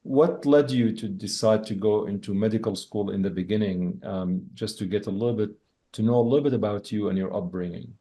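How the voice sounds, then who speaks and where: A man hosts an interview, his voice mellow and fairly low. He builds his questions haltingly, circling back and restarting before he gets to the point. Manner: halting, many fillers and restarts while framing questions. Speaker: podcast host, interviewer.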